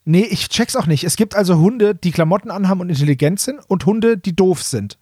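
High-voiced man, jokey and colloquial. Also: expressive, a little fast, darkish tone, husky, no echo, clean studio sound. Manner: jokey, colloquial, acts out short mock quotes. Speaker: podcast co-host. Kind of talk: casual humorous chat recapping an audio drama.

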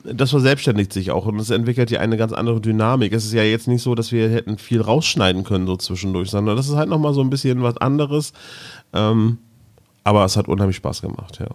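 Male podcast host, lowish register, informal and discursive, conversational delivery. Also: fast talker, darkish tone. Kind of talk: casual podcast chat.

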